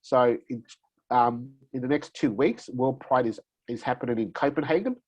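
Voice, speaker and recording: A man's voice: mid-range voice, moderate pace, a little mellow, slightly rough, rough recording. A man from Australia, a guest in an interview, talking loosely with many fillers and restarts. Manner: rambling, many "you know" fillers and restarts. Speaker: interview guest, community advocate. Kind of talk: casual conversational interview.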